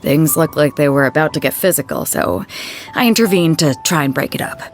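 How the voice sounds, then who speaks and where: A woman playing an easygoing, hearty sea captain in a video game, talking in a breezy, informal, expressive way. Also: low-pitched, fairly quick, soft background music. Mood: storytelling.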